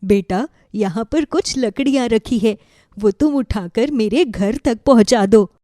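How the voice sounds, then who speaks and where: A woman voicing a kindly old village woman in an animated moral story, gentle, pleading and affectionate. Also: a little high, a little slow, no room sound, clean studio sound, natural voice.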